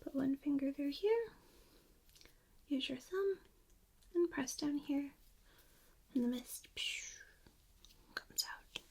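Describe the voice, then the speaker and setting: Slow, whispering ASMR woman. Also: high-pitched, expressive. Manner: hushed, gentle, slow step-by-step instruction. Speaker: ASMR host demonstrating plant care in a role play. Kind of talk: ASMR, soft speaking and whispering. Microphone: close binaural microphone. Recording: faint background noise, binaural close-miked.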